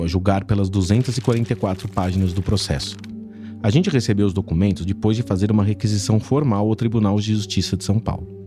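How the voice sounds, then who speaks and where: A man reporting and narrating a true-crime podcast in a measured investigative way. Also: lowish voice.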